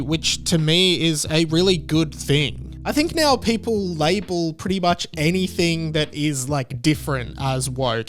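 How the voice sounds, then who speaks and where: Higher-pitched man reviewing. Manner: opinionated, earnest, emphatic. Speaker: video reviewer. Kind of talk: conversational video commentary.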